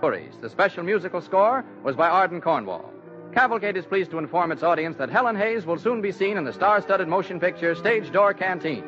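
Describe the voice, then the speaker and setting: A man's voice: high voice, expressive, fairly quick, music in the background. A man with an American accent reading a script as a formal 1940s radio announcer. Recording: muffled 1940s radio recording.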